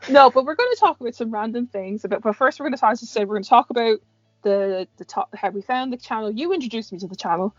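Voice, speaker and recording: A high-pitched Irish woman in loose, sweary banter as a co-host. The recording is dry and slightly muffled, with music playing behind her. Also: fast, dry recording. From Ireland. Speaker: co-host of a fan discussion. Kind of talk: casual chat.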